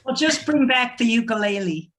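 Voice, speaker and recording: A woman's voice: on the high side, lively intonation, moderate pace, a crowd in the background. A woman, an amateur play cast member, talking casually in an online group discussion over a video call.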